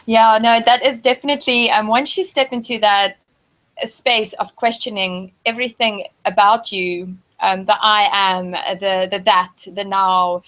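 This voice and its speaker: A woman's voice: medium pitch, steady tone, a little slow, a bit bright. A woman hosting an interview channel, effusive and complimentary, piling on affirmations as she speaks. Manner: effusive and gushing, full of affirmations. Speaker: host. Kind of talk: warm spiritual interview hosting.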